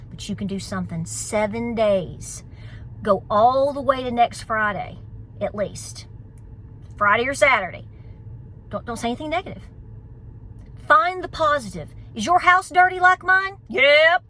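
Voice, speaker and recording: High, bright Appalachian Southern woman. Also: rough recording. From United States. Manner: emphatic, exhorting, folksy. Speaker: homesteading vlogger. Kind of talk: casual motivational vlog talk.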